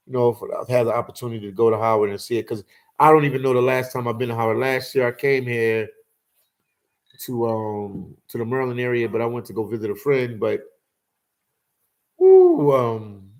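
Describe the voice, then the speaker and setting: A man's voice: mid-range voice, a little mellow. A man in his forties hosting a casual livestream chat, telling stories in a laid-back way and dropping "man" and "you know" often. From Philadelphia. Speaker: host.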